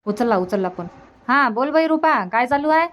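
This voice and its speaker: Slightly high-voiced village mother, acted by a woman. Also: animated, normal speed, a bit bright. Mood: gossipy, concerned. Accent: Varhadi Marathi from Vidarbha. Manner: gossipy, advising, repeats herself. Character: practical, reassuring village mother of a married daughter. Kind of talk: colloquial cartoon dialogue.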